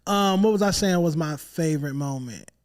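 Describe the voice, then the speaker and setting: A man's voice: a little high, smooth. A young man hosting a podcast, chatting casually and teasing his guests. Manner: playful, quick to tease. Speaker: podcast host.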